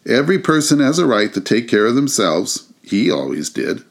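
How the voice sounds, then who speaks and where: A man voicing a bold, coarse charwoman in a storybook reading, rough-spoken and scornful. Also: mid-range voice, sing-song, normal speed, voice pushed up. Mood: defiant.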